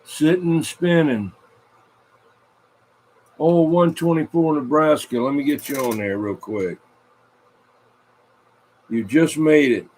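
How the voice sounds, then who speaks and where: A man's voice: fairly high pitch, takes it slowly, long pauses, darkish tone, poor audio. A man hosting a game over the radio with informal, repetitive live chatter, announcing as he goes. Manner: informal, repeats himself.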